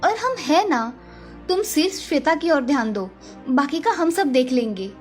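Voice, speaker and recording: A woman's voice: high voice, expressive, normal speed, faint music behind. A woman voicing a kind, helpful married village woman in a children's story, caring and reassuring. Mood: caring, earnest.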